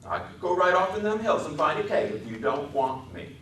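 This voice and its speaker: A man voicing a big, simple, childlike man, eager and pleading, with halting folksy speech, in a dramatic reading. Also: sits high, sing-song, a bit bright, voice pushed up. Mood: eager, wheedling. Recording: roomy echoing hall, distant mic, faint noise.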